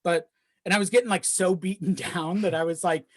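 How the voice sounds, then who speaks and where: Podcast guest, mid-pitched voice, rambling casual storyteller with easy swearing, dry recording. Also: steady tone, fairly quick, dry recording. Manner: rambling, often chuckling while talking, swears casually. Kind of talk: casual chat, personal storytelling.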